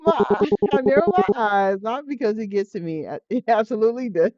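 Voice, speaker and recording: A game streamer reading a visual novel aloud in an informal, expressive way, breaking into slangy asides. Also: medium pitch, fairly quick, no room sound. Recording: muffled audio with music behind.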